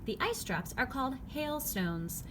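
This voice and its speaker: A woman reading a children's picture book aloud, phrasing it clearly and simply for young listeners. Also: high-pitched, expressive, a little slow. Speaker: read-aloud narrator. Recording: clearly noisy background ambience.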